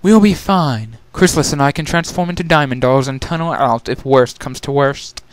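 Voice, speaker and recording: Casting: narrator playing a composed elder insect-pony sister for a storybook, raised pitch, formal, reassuring. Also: dry recording, lightly acted. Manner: formal, measured, gently reassuring. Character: calm, formal, protective older changeling sister.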